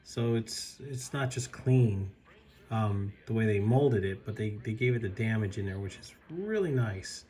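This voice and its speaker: A man with a fairly low voice walks through a toy review in a relaxed, chatty way. He sounds a little raspy from a recent illness and often stops mid-sentence to restart or admire a detail. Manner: halting, with fillers and admiring asides. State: slightly raspy from recovering illness. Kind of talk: casual product review.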